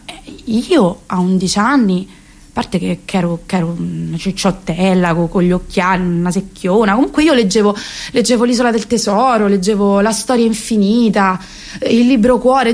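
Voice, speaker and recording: A woman's voice: mid-range voice, normal speed, darkish tone. A woman in her late twenties with a Roman accent performing a colloquial, sarcastic comic monologue, emphatic and full of rhetorical questions. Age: late 20s.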